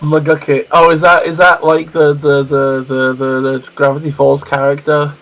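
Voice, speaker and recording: A man's voice: fairly high pitch, flat delivery, moderate pace, a bit bright, faint music behind. A Welsh man streaming a video game, musing aloud in casual chat with stammering restarts. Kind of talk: casual chat while gaming.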